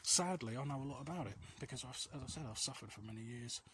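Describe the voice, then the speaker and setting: A man chatting casually and confessionally while out walking, hesitant, rambling and self-deprecating, recorded outdoors on a quiet street. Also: mid-range voice, quick pace, a crowd in the background. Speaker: vlog host. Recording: noisy outdoor recording with clicks.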